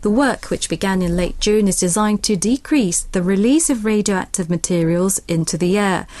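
A woman's voice: medium pitch, a little mellow, no room sound. Female news reader, very dry sound. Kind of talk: scripted international radio news report.

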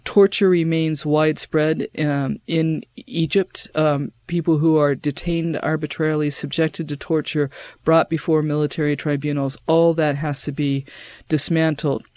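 A man giving measured, emphatic interview answers as a human rights advocate, explaining point by point. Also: a little high, level tone, a little mellow, no room sound.

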